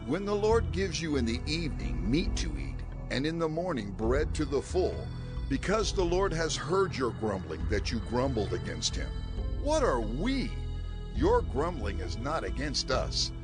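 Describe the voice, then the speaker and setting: An earnest male leader addresses his people in a scripture drama. His pitch moves widely as he gives declamatory, instructive speeches. Music plays clearly behind him. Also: sing-song, unhurried. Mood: stern, earnest. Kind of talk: dramatized scripture audio acting.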